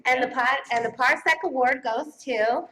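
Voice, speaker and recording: A woman's voice: high-pitched, normal speed, bright, forceful tone, rough recording. A woman casually presenting awards live on stage in a playful, joking manner.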